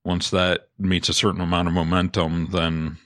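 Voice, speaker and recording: A man's voice: sits low, flat delivery, moderate pace, a bit bright, dry recording, studio quality. A man co-hosting a podcast, presenting industry news in a casual discussion style.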